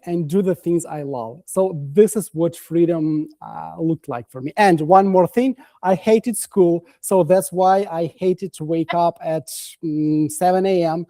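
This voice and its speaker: A man's voice: high voice, mellow, no echo. A young man from Ukraine with a Ukrainian accent, talking as a guest on a video call and stopping often to search for words. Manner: halting, many fillers and restarts. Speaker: guest coach. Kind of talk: casual interview chat.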